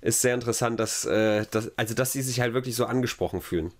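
A male podcast host talks casually at a medium pitch. He rambles through his anecdotes with a sardonic edge, as if chatting with a friend. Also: quick pace.

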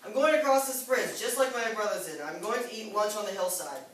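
Big brother billy goat played by a woman, firm and challenging, medium pitch, distant echoey room. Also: fairly quick, close to an everyday voice. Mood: bold, challenging. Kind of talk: children's fairy-tale play. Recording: echoey noisy room, far from mic.

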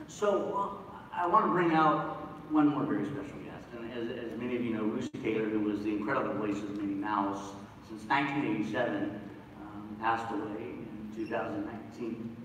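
A man's voice: on the low side, brisk. A man hosting a stage panel, introducing and questioning guests in an informal, stop-start way. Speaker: panel host. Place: large convention hall stage, audience recording from afar. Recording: very echoey distant hall, crowd noise.

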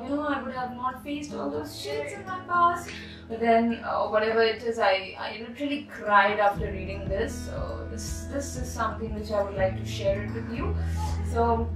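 A young woman vlogger speaking earnestly and emphatically to camera as she reads aloud and comments on a book. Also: on the high side. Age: young adult. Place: bedroom, indoors. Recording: echoey room, distant mic, background music. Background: music.

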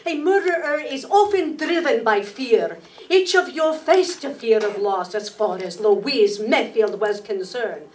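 A woman playing a shrewd, confident lady detective in a staged radio mystery, reading deliberately and dramatically. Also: on the high side, expressive. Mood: triumphant, accusing. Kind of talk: 1940s radio mystery acting, read from script. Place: live staged reading in a meeting hall. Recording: distant mic in noisy room.

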